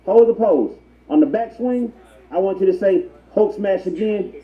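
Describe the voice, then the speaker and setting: High-pitched man, echoey crude mock tutorial. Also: moderate pace. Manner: profane, slangy, instructional. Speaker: prank tutorial narrator. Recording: echoey, muffled, noisy intercom playback. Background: public transit ambience.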